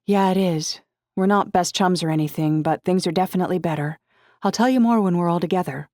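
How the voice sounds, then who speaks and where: Friendly teenage girl voiced by a narrator, breezy, chatty, pitched high, novel dialogue. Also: brisk, darkish tone, no room sound, clean studio sound, close to an everyday voice. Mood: upbeat.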